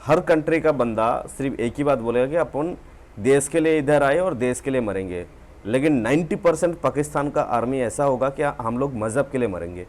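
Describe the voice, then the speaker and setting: A man's voice: medium pitch, animated, moderate pace, darkish tone. A man hosting a reaction channel, giving an opinionated commentary straight to his viewers. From India. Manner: opinionated, addresses viewers directly. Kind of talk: commentary monologue.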